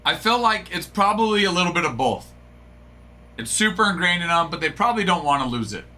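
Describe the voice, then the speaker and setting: A man's voice: sits high, sing-song, brisk, pushed and bright. A middle-aged man hosting a live card break, chatting casually and rambling to his viewers. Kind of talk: casual live-stream chat.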